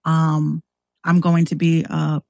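A woman's voice: low voice, monotone, slow, vocal fry, dark tone, no room sound, clean studio sound. A woman who streams and podcasts, chatting casually as a guest on a show.

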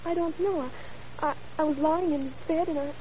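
An actress playing a shaken, frightened crime victim in an old-time radio drama. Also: female voice, high-pitched, fairly even intonation, moderate pace, warm tone, close to an everyday voice. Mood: shaken, hesitant. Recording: hissy, dull old radio recording.

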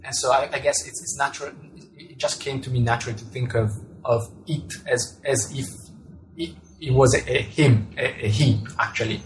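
A man's voice: medium pitch, steady tone, moderate pace, poor audio. An Italian-accented man speaks in a mid-range voice with some noise behind him. He answers interview questions thoughtfully, hesitating and doubling back on words as he builds each sentence. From Italy. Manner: hesitant, repeats words while searching for phrasing. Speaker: author and astronomer being interviewed.

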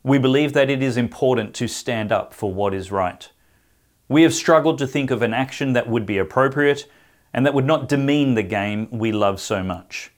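A male host of an interview show, speaking at an ordinary mid pitch. He reads aloud in a firm, measured way. His questions come out halting, with plenty of fillers and restarts. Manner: firm read-aloud delivery. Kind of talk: podcast interview.

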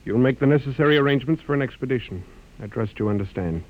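An actor playing an authoritative ship's captain in a classic radio drama, formal, judicious and deliberate. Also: male voice, mid-range voice, expressive, narrow, tinny audio, lightly acted. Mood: commanding.

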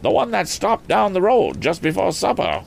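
Man acting out an excitable, folksy old farmer. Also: sits high, moderate pace, creaky, slight background noise, voice pushed up, full character voice. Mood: curious, blunt. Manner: folksy country talk, exclamatory. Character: excitable rustic old farmer, tall, suspicious then eager.

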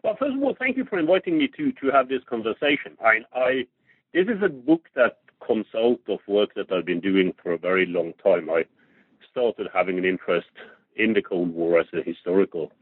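A man's voice: on the low side, animated, brisk, slightly rough, no room sound. Norwegian-raised man on a tinny phone line. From Norway. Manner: hesitant, repeats words while thinking. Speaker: historian, interview guest. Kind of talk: thoughtful interview answers.

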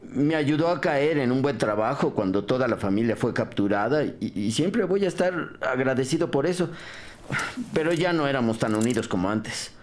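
A man's voice: medium pitch, expressive, moderate pace, a little mellow, lightly acted. An actor playing a cornered, defensive ex-convict suspect who pleads and stammers his denials in a crime audio drama. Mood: defensive explaining.

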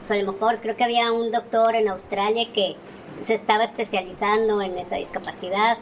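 A woman's voice: medium pitch, flat delivery, a little fast, a little hiss or hum, low-quality recording. A woman in her forties with a Mexican Spanish accent, telling her personal story conversationally as an interview guest. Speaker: interview guest, author and speaker.

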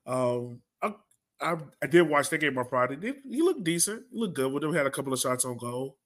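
A man's voice: mid-range voice, big pitch swings, a little fast, a bit bright. A man hosting a podcast with casual sports chat, talking like a rueful, self-deprecating fan. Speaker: podcast host.

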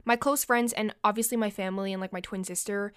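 A young woman talks through her solo podcast in a chatty, confiding way, full of little fillers. Her nose is slightly stuffed up, yet the voice stays smooth and clear. The recording is close and dry, with no room sound. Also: mid-range voice, steady tone, quick pace, fairly bright tone, no room sound. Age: young adult. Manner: chatty and confiding, many "like" and "you know" fillers. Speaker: podcast host. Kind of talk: casual solo podcast chat.

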